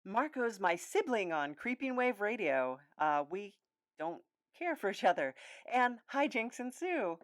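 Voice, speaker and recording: A woman's voice: medium pitch, big pitch swings, unhurried, dry recording, studio quality. A woman casually introducing and explaining script excerpts from her own show.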